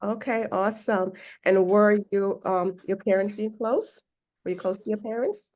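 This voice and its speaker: A woman's voice: mid-range voice, moderate pace. A woman hosting a podcast interview, encouraging and speaking of God's grace. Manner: warm and encouraging, religious phrasing. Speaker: podcast host.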